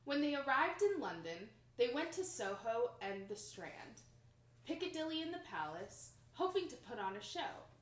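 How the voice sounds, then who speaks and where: A young woman reads a children's picture book aloud with expressive, dramatic emphasis, recorded in a small room indoors. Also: on the high side, normal speed, noisy background. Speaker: storybook reader. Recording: roomy home recording.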